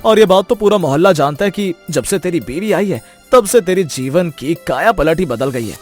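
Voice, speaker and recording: A man's voice: high-pitched, animated, moderate pace, a little mellow, faint music behind. A man voicing husbands and schemers in a melodramatic cartoon moral story, with an emphatic, theatrical delivery. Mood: coaxing. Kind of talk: dramatic cartoon story dialogue.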